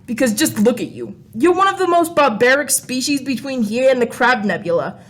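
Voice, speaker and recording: Woman as a high-pitched, sassy alien crayon. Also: animated, brisk, background noise. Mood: scornful. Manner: snide, scolding. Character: sassy, mocking alien crayon renegade visiting Earth. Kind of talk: comedic radio play acting.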